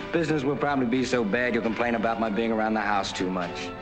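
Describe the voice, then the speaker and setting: A man's voice: mid-range voice, normal speed, close to an everyday voice. An actor playing a young doctor in a 1940s film, hurt and cutting with the woman he loves. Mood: affectionate. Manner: warm then cutting. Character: young male doctor, earnest then bitter. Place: outdoor park bench at night on a film set. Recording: old film soundtrack with music.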